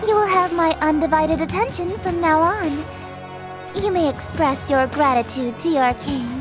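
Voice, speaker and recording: High-pitched, haughty eldritch queen. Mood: haughty, coolly threatening. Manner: formal, imperious, theatrical, condescending. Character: regal, haughty eldritch queen of chaos, menacingly sweet. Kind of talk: visual novel game character acting.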